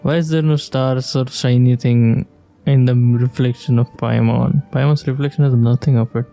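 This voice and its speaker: Male gamer, casual reactive commentary, mid-pitched and mellow, thinking out loud over game music. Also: steady tone, normal speed, vocal fry. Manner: musing aloud, short reactive remarks. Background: video game music.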